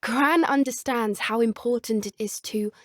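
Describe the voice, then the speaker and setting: A woman with a British accent playing an earnest, gentle teenage witch student in a video game, soft-spoken and politely phrased. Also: on the high side, measured pace, no room sound, clean studio sound, close to an everyday voice. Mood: concerned, determined. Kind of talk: video game character dialogue.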